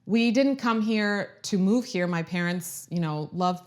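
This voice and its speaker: A chatty woman in her thirties from Croatia hosts a casual live stream. She sounds upbeat and talks in a rambling, friendly way, with restarts and side remarks. Her voice sits at an ordinary middle pitch. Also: a little slow. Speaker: lifestyle video host.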